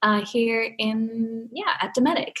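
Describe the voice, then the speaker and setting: A woman from Australia whose accent has softened after years in Sweden, talking as a guest in an interview, chatty and full of likes and ums. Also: a little high, a little slow, poor audio. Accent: mild Australian, softened by years in Sweden. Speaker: guest, content marketing manager. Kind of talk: remote podcast interview.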